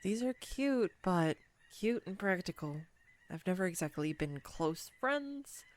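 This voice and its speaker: A woman's voice: fairly low pitch, expressive, measured pace. A woman playing a clumsy, nervous young woman on a first date in an audio roleplay, rambling and self-deprecating. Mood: self-reassuring, nervous. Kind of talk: intimate audio roleplay monologue.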